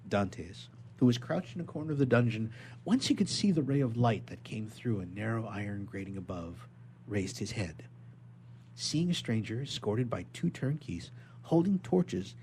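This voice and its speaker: A man reading a classic novel aloud as dramatic, expressive narration. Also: mid-range voice, slight background noise. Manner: expressive, theatrical. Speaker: reader of a novel chapter.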